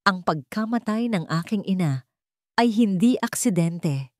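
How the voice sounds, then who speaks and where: A woman's voice: medium pitch, animated, measured pace, no echo, clean studio sound, lightly acted. Woman voicing a bitter, wronged young Filipina avenger. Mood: pained. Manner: declamatory, accusing.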